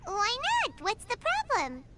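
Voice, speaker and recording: Over prominent music, a very high, squeaky little fairy companion chirps perky game dialogue. The childlike voice is expressive and exclamatory, with an air of being easily confused. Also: female voice, unhurried, heavy background noise, full character voice. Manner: refers to self in third person, exclamatory. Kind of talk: video game character dialogue. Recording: music behind the voice.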